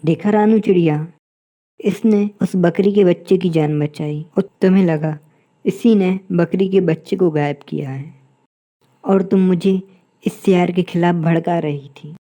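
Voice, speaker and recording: Stern, mellow lion king, acted by a woman. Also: lowish voice, a little slow, dull audio, natural voice. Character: stern, pompous lion king, male, easily misled. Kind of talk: Hindi moral-story cartoon dubbing.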